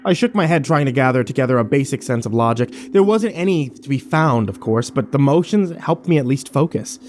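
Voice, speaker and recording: A man's voice: medium pitch, lively intonation, brisk, a little mellow, slight background noise, close to an everyday voice. A man playing a troubled, wary survivor who narrates his ordeal in a brooding first-person horror drama.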